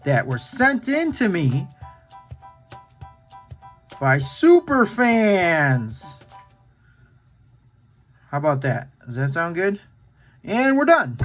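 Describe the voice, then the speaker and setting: A man walks children through a drawing lesson in a playful, encouraging voice. His pitch leaps around expressively while he keeps an unhurried pace, and soft music plays faintly behind him. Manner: playful, encouraging, talks to kids directly. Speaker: children's drawing tutorial host.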